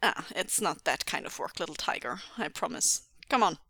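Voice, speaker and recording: A woman voicing a calm, affectionate father with a measured, wry manner in a story. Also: low-pitched, gravelly, breathy edge, dry recording, full character voice. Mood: wry, fond.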